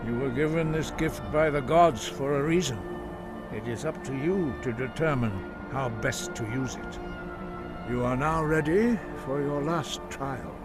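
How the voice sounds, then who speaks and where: An actor playing a wise, patient old monk master in a fantasy video game, speaking in a measured, formal way. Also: male voice, medium pitch, close to an everyday voice. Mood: reverent, grave. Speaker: mentor. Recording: loud music behind the voice. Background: game music.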